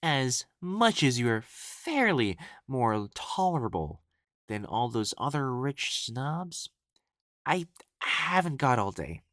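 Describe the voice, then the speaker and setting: A man performs an elegant young male matchmaker from ancient China in an audio drama. He is pompous and quickly exasperated, with highly animated pitch and a measured, slow delivery. The phrasing is formal and old-fashioned, and the sound is close and dry. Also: dry recording. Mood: imperious, irritated. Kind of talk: scripted audio roleplay acting.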